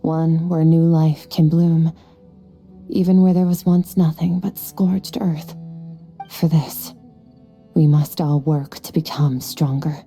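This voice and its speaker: A woman voicing an aloof, solemn, ageless swordswoman in a video game, speaking in a measured, philosophical way. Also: sits low, steady tone. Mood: gentle, reserved. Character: aloof, solemn, centuries-old swordswoman who looks youthful. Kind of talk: video game cutscene voice acting. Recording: soft background music behind the voice.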